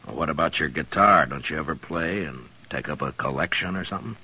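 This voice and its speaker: Very deep, gruff western marshal. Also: male voice, fairly quick, pushed and bright, heavily acted. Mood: easygoing, curious. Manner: gruff, authoritative. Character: stern, world-weary frontier town marshal. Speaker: lead actor and narrator. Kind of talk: 1950s radio western acting. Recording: 1950s radio broadcast with music.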